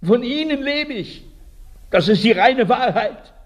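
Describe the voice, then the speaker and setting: High, husky, guilt-ridden priest. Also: male voice, expressive, lo-fi sound, full character voice. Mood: guilty, bitter. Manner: anguished, halting, confessional. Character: guilt-ridden village priest, anguished and self-accusing. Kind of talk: radio drama monologue.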